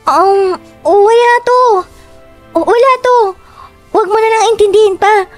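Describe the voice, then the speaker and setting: Woman voicing a very high-voiced girl in a fairy tale. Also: measured pace. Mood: evasive. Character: young woman, hurt and secretive. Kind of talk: Tagalog fairy-tale drama voice acting. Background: faint music.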